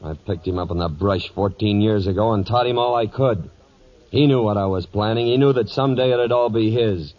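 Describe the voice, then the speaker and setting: An actor playing a hard, vengeful older cattle baron with an American accent and a blunt, commanding manner in a 1940s radio western. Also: male voice, on the low side, fairly quick, close to an everyday voice. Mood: bitter. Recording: muffled 1940s radio broadcast recording.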